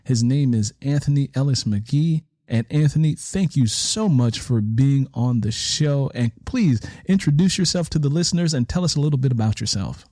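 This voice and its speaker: A man's voice: mid-range voice, lively intonation, normal speed, few pauses, dark tone, no room sound. American man hosting in a dry, close room. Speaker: interview show host and author. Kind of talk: conversational interview, sharing anecdotes.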